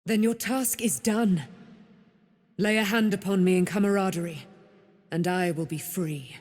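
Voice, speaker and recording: A woman's voice: medium pitch, steady tone, a little slow, darkish tone, no room sound, clean studio sound, lightly acted. An actress voicing a proud, righteous, vengeful immortal female knight in a grand, archaic, declamatory fantasy game style. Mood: imperious. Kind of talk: theatrical fantasy game voice acting.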